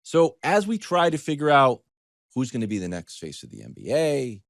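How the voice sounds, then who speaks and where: A man's voice: medium pitch, moderate pace, gravelly, no echo. American man telling sports stories, dry sound. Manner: animated, digressive, self-deprecating. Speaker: sports TV commentator. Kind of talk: conversational sports talk storytelling.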